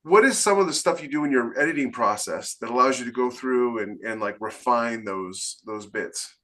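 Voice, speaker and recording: A man's voice: medium pitch, moderate pace, fairly bright tone. Emphatic man chatting as podcast host. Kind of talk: casual interview chat.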